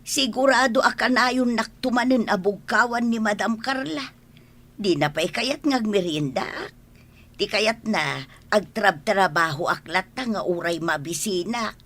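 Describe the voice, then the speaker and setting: A woman playing a fretful, protective older housemaid in a radio drama, excitable and coaxing. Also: mid-range voice, unhurried. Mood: worried, eager. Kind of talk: Ilocano radio drama acting.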